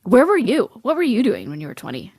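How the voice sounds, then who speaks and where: A woman in her early thirties co-hosting a podcast, chatting casually with lots of "like" fillers and quick laughs. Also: on the high side, huge pitch swings, darkish tone, breathy edge, no echo. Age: 30s. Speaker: podcast co-host. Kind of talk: casual chat.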